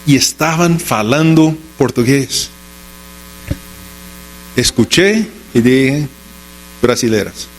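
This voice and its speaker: A man's voice: mid-range voice, expressive, takes it slowly, long pauses, a little hiss or hum, rough recording. A man with an American accent and English as his native language, preaching a sermon as a pastor with rhetorical questions and emphatic, repeated short phrases. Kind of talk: Sunday sermon with scripture reading and storytelling.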